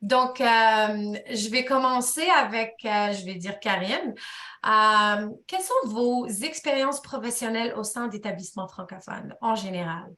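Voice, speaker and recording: A woman's voice: on the high side, expressive, measured pace, pushed and bright, echoey room. A woman moderating a webinar panel, asking questions with many hesitations.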